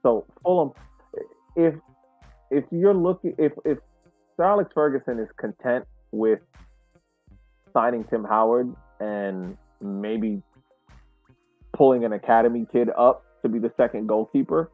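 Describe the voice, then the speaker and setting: A man co-hosting a casual sports podcast, speaking in a halting, measured way and repeating words, over thin call audio. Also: mid-range voice, no room sound, phone-quality sound, faint music behind. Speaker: podcast co-host.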